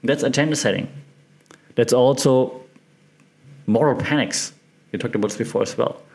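Male podcast host, German accent, mid pitch, informal explainer, halting and conversational, often checks in with the listener. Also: creaky, a little mellow. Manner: conversational, halting, repeats words, checks in with "right?".

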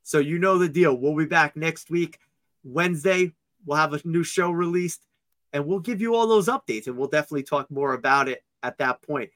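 Male sports podcast co-host, bright forceful tone, slightly high, dry close sound, casual and joking. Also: no echo. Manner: upbeat, joking, quick asides.

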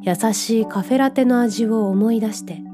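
A woman playing a gentle, dreamy young narrator in a scripted radio drama, with a soft, reflective storytelling manner. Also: on the high side, moderate pace, music in the background, close to an everyday voice. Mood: reflective, tender.

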